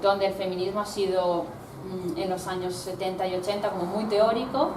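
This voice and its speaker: A woman from Italy, a researcher giving a talk with slides, speaking thoughtfully and pausing often to search for words. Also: mid-range voice, steady tone. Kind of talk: discussion talk presenting slides. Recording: roomy, distant mic, background noise.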